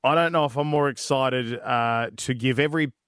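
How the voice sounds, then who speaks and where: A man's voice: mid-range voice, moderate pace, a bit bright, clean tone, dry recording. An Australian man co-hosting a breakfast radio show from a studio, playful, laughing easily and starting with hesitant fillers. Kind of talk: casual breakfast radio banter.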